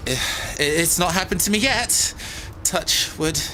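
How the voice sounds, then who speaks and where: A man's voice: sits high, animated, a little slow, pushed and bright, a little hiss or hum, full character voice. An actor playing a mild-mannered, slightly awkward tech guy in a science fiction audio drama, chatty but hesitant. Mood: sheepish, relieved.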